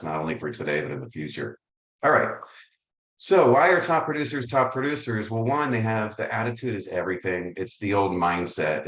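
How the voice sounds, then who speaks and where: Low-voiced upbeat man presenting on a call. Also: reverberant, rough recording. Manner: upbeat, conversational, self-deprecating. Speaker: real estate coaching presenter. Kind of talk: webinar presentation. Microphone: video call.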